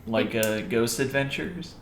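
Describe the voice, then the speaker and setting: Very deep-voiced woman, teasing banter. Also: a little slow. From southern United States. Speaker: podcast co-host. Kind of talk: casual comedic banter. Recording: roomy echo, faint background noise.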